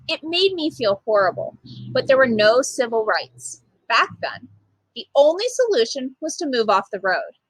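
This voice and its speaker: Animated, high-voiced woman reading a storybook. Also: slightly rough, dry recording, low-quality recording. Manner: animated, emphatic storytelling for young children. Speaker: storytime reader, literacy program manager. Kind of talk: expressive children's picture book read-aloud.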